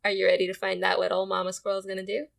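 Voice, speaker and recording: A woman with a bright, forceful voice leads a children's read-aloud. She is an expressive teacher at a medium pitch. She addresses young readers directly and repeats her questions. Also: rapid-fire, gravelly. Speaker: elementary school teacher.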